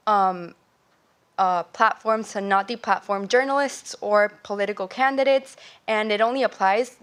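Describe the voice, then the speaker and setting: A woman's voice: a little high, fairly bright tone. A young woman from Venezuela giving an earnest student presentation, explaining ideas step by step with occasional fillers and self-corrections. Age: young adult. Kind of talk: academic presentation. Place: lecture hall.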